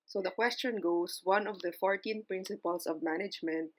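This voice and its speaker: A woman's voice: lowish voice, steady tone, moderate pace, rough recording. Woman with an Ilonggo accent explaining exam tips. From Philippines. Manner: mixes English and Tagalog, explanatory. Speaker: librarian giving exam tips. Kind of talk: casual instructional vlog.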